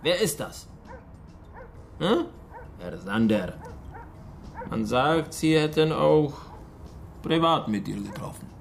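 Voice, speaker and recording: An actor in a radio drama playing a menacing, sceptical male interrogator, gravelly and insinuating. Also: fairly high pitch, sing-song, slow, pauses often, full character voice.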